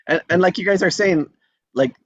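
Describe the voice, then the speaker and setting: A man's voice: high voice, normal speed, dry recording. Chatty man on a lo-fi call. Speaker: host. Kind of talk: casual online chat.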